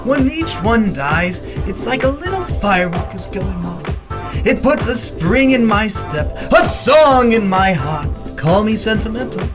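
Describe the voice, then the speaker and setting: Psychopathic clown villain, gleeful, mock-affectionate, wildly animated pitch, radio drama, music behind. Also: male voice, a little slow. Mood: gleeful, mock-sentimental.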